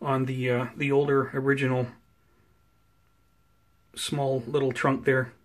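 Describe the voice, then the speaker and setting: A man giving a casual, unscripted plant update in an unhurried, explanatory, conversational way, recorded indoors on a handheld camera. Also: medium pitch, level tone. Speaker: host of a plant-growing video channel. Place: indoors at home beside potted seedlings.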